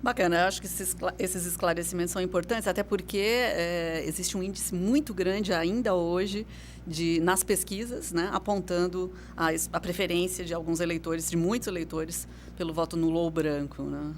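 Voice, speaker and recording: A woman's voice: lowish voice, steady tone, normal speed, slight background noise. Woman radio host, conversational. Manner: conversational, frequent affirming "uhum". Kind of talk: radio interview hosting. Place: radio studio.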